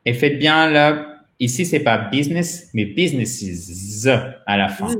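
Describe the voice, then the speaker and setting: A man with a medium-pitched voice teaches pronunciation in an echoey room. He is a native French speaker and explains in a didactic way, turning directly to the learners as he goes. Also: expressive, measured pace, a bit bright. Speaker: English pronunciation teacher, host. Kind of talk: interactive language lesson, explanatory.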